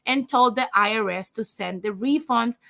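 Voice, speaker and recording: Young woman host, Spanish accent, high-ish and gravelly, blunt and profane live commentary, addresses viewers directly. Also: moderate pace, sharp tone. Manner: profane, fired-up, talks straight to viewers. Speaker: livestream host. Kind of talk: blunt opinion commentary, reading news aloud.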